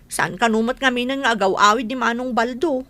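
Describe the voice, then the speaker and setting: A woman's voice: fairly high pitch, brisk, bright, forceful tone. A woman playing a concerned, inquisitive young village daughter in a radio comedy drama, questioning and emphatic.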